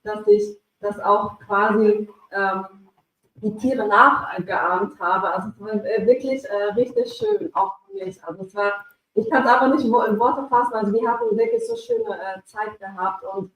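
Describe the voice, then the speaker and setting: A woman's voice: on the high side, very animated, normal speed, a bit bright. A woman speaking enthusiastically at a live event with many fillers about voicing a children's story. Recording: echoey room, mic at a distance.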